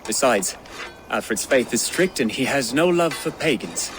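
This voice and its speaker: A man's voice: medium pitch, expressive, moderate pace, a bit bright, soft background music, close to an everyday voice. A man voicing a wry young former zealot. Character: dry-witted young male former zealot.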